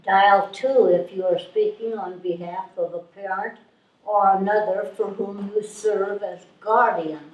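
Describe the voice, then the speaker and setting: A woman's voice: medium pitch, fairly even intonation, lo-fi sound, lightly acted. A woman playing an obtuse, politely bureaucratic helpline clerk in a live readers theatre reading. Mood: officious. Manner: officious, measured. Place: live stage reading in a room. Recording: echoey room, distant microphone.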